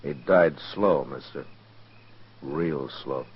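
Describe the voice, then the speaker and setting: An actor playing a stern, hard-nosed U.S. Marshal in a 1950s radio western, with an American accent and a terse, commanding manner. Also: male voice, a bass voice, takes it slowly, breathy edge, full character voice. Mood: grim, menacing. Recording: dull, muffled 1950s radio recording.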